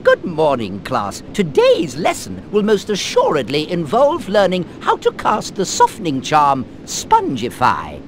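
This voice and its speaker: An elderly schoolmaster addresses pupils in a precise, fussy, kindly way. His pitch sits fairly high and leaps about wildly. It is game dialogue with faint noise. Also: huge pitch swings, measured pace, hardly any pauses, exaggerated voice. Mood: brisk, instructive. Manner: precise, formal classroom delivery. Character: elderly little male charms professor, kindly and fussy.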